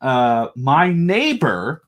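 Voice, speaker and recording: A man's voice: mid-range voice, very animated, drawn-out words, a bit bright. A man from the southern United States chatting casually as a podcast guest, joking and telling personal stories.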